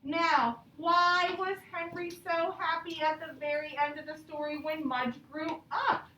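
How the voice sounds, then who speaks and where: Female primary teacher, high register, instructional repetitive phrasing, reverberant classroom sound with faint noise. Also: steady tone, measured pace, bright, forceful tone. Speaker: elementary school teacher. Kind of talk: classroom lesson with read-aloud and questions to children. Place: indoor classroom. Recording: echoey classroom, distant mic, background noise.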